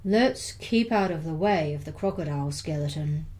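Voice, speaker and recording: A woman's voice: deep voice, lively intonation, normal speed, noisy background. A woman reading a children's picture book, voicing a big, genial male skeleton in a hearty, emphatic manner.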